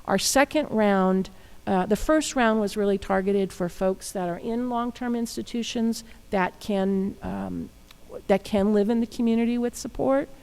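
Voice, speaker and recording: A woman official giving formal, halting testimony about programs at a public hearing. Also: medium pitch, creaky, a little mellow, clear, resonant tone. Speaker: state housing official. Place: government hearing room.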